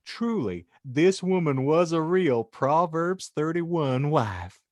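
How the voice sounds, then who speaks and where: Male narrator, theatrical comedy read, unhurried pace, wide swings in pitch. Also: animated, darkish tone, no echo. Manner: mock-earnest, theatrical. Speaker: podcast host and narrator. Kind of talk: comic dramatic audiobook narration.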